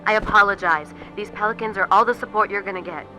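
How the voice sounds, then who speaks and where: A woman's voice: mid-range voice, fast talker, sharp tone, faint music behind, close to an everyday voice, low-quality recording. Woman acting a composed, decisive military commander. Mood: businesslike. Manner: crisp, authoritative, composed. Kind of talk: video game cutscene acting.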